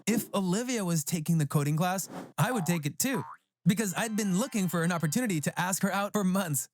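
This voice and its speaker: A narrator reading a scripted first-person story for an animated tale. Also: medium pitch, a little fast, slight background noise. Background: background music.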